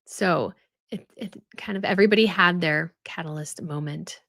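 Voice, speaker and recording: A woman's voice: fairly low pitch, normal speed, fairly bright tone, no room sound, studio quality. A young woman hosting a casual live stream, chatting and answering viewer comments with many fillers and restarts. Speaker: host of a royal news live stream.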